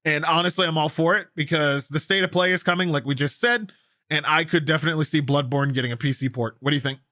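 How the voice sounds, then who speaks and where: A man's voice: on the high side, steady tone, quick pace, pushed and bright, dry recording, clean studio sound. A man co-hosting a gaming news show, talking casually and emphatically, repeating himself for effect and swearing freely. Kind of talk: casual news commentary with co-host.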